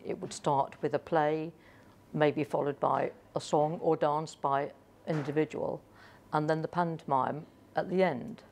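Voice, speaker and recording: A woman's voice: low voice, fairly even intonation, measured pace, vocal fry, darkish tone, a little hiss or hum. A woman explaining history as an interviewee.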